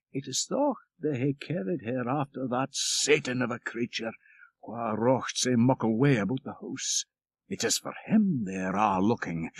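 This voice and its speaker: A man voicing an excitable, gossipy old Scottish shepherd's wife in an audiobook story, with urgent exclamations. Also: medium pitch, gravelly, husky, dry recording, exaggerated voice. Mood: knowing.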